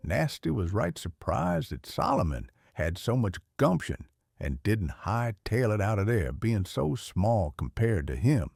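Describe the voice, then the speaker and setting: A man with a Southern US accent reading a children's storybook aloud in a folksy, expressive way. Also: on the low side, measured pace, creaky, hardly any pauses. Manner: folksy, animated.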